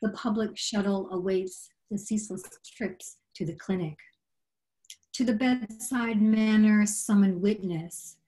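A middle-aged woman giving a poetry reading in a measured, deliberate, solemn delivery over a video call. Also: mid-range voice, warm tone, low-quality recording. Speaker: poet reading her work. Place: small room at home, on a video call. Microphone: computer microphone on a video call.

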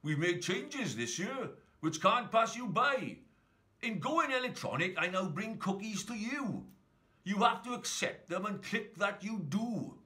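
A man's voice: sits high, sing-song, a little slow, bright, forceful tone, voice pushed up, exaggerated voice. A man voicing a slick, cheerful hipster Santa making announcements like a salesman in a children's Christmas verse story. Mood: brisk, salesy.

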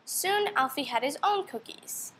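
A young woman with a high voice reads a children's story aloud. Her intonation swings widely as she tells it and puts questions to young listeners. A faint but steady noise sits behind her. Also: measured pace. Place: indoor room.

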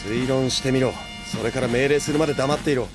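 A man's voice: medium pitch, steady tone, fast talker, music in the background, close to an everyday voice. A man playing a humorless young military sergeant in an audio drama, speaking in a curt, clipped way. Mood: curt, annoyed. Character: stern, humorless young male soldier, a sergeant. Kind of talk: Japanese audio drama acting.

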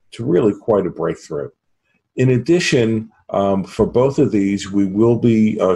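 An American man in his fifties giving a halting product pitch as an interview guest on a video call from a home office. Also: dark tone. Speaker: sales executive, interview guest. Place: small home office, indoors. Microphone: computer video call.

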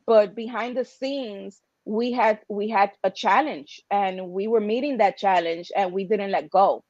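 A woman's voice: medium pitch, measured pace, a little mellow, no echo. A middle-aged woman giving emphatic, passionate, conversational answers as an interview guest, often with rhetorical questions. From Dominican-American. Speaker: interview guest, writers' association founder. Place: indoors on a video call. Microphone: computer video-call microphone. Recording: dull, lo-fi video-call audio.

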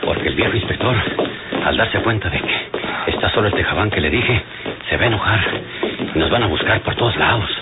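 An actor playing a bandit's eager, excitable sidekick with a rural northern Mexican accent in an old radio drama. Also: male voice, on the low side, fairly quick, pauses often, natural voice. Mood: nervous, agreeable. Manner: agreeable, excitable. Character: eager, admiring male fugitive sidekick of a bandit. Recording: tinny old radio recording with loud music.

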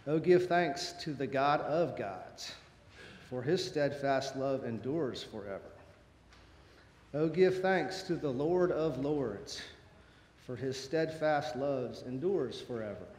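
A man's voice: mid-range voice, expressive, darkish tone. Man reading a psalm at a lectern. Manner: measured, solemn reading. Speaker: scripture reader. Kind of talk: reading a responsive psalm aloud. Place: church sanctuary, at the lectern. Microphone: lectern mic. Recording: roomy church echo.

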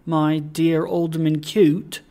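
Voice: mid-range voice, flat delivery, takes it slowly, mellow. A reader voicing an agitated, discreet male secretary in a storybook, urgent and confiding in manner. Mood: grave, secretive. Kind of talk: dramatised audiobook of a classic story.

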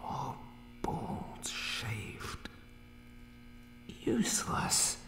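Monster barber for horror ASMR: obsessive creature, whispered broken phrases, very slow delivery, roomy echo. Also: male voice, sharp tone, slight background noise. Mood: menacing, obsessive. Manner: broken, clipped speech with repeated words. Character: monstrous male barber creature from dark places, obsessed with cutting.

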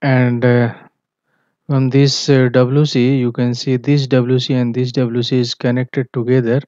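Slow, flat-voiced male engineering tutor. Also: mid-range voice, no echo. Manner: halting, many fillers and self-corrections. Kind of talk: screen-share drawing walkthrough. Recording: dull, dry, lo-fi voice-over.

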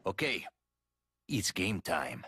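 An actor playing a cocky, flirtatious, wisecracking rogue in a video game, with a teasing, theatrical delivery. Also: male voice, low-pitched, sing-song, takes it slowly, heavy background noise, heavily acted. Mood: wry. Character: cocky, flirtatious, wisecracking man, a roguish ally. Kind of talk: video game cutscene acting.

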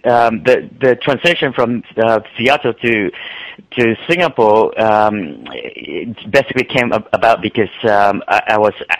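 Hesitant low-voiced man from Malaysia. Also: animated, a little slow, no echo, low-quality recording. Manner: hesitant, many ums and restarts. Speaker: guest trader and author. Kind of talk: casual interview answers.

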